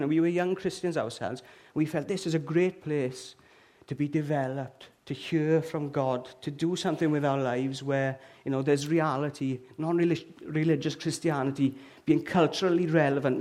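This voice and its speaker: A Welsh man in middle age, talking to a church crowd in a relaxed, joking way. His voice sits a little higher than most men's, and he keeps checking in with the room as he goes. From Wales. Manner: chatty and humorous, often checks in with "okay?" and "all right?". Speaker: church speaker. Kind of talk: lively, joking sermon to a live congregation. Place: church hall with an audience.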